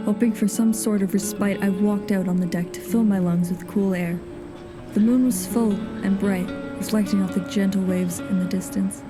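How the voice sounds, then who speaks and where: A woman's voice: mid-range voice, natural voice. A woman playing a grave, haunted nineteenth-century sea captain reading a log in solemn, formal diction for an audio drama. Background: music.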